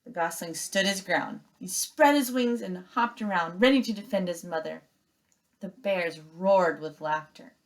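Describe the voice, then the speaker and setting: A woman's voice: on the low side, measured pace. A woman teacher reading a children's story aloud expressively and giving instructions to students.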